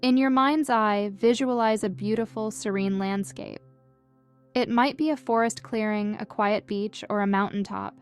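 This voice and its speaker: Calm woman guiding meditation over music. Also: mid-range voice, clear, resonant tone. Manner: gentle, calm, measured instructions with soothing delivery. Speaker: meditation guide. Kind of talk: guided meditation narration, scripted.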